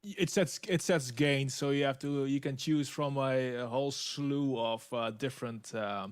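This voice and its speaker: A man chats as a livestream guest, halting often and filling gaps with uh. His pitch is ordinary for a man, and the sound is dry and close. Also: clean tone, dry recording. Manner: halting, many uh fillers. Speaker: guest producer. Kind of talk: casual chat. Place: home studio.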